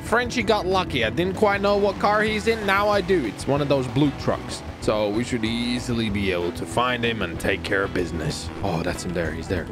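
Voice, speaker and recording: A man giving excited, playful gaming commentary in a theatrical way, often exclaiming and repeating his phrases. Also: mid-range voice, moderate pace, hardly any pauses, music in the background. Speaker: gamer and video host.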